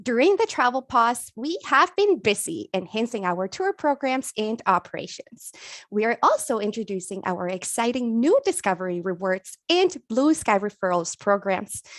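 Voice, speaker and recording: A woman's voice: on the high side, no room sound. A woman hosting a webinar, reading polished scripted announcements. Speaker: webinar host. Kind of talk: read announcement.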